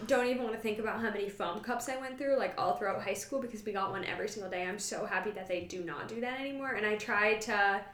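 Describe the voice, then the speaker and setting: A young woman from the Boston area talks in an easy, chatty way, her light Boston accent showing in certain words. She laughs a lot between thoughts. Her voice sits at a middle pitch and carries a roomy echo. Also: fast, hardly any pauses, fairly bright tone. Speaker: podcast guest, runner. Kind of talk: casual chat.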